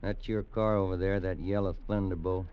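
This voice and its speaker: An actor playing a stern, scolding motorcycle cop in a 1950s radio drama. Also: male voice, sits low, fairly even intonation, normal speed, clean tone, close to an everyday voice. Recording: dull old radio recording.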